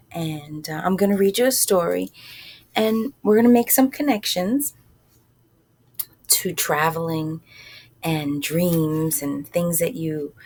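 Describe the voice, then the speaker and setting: A woman reads a story aloud for children at a slow pace. She is informal and halting, speaking in short phrases with pauses and addressing the listeners directly. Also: low-pitched, darkish tone, husky.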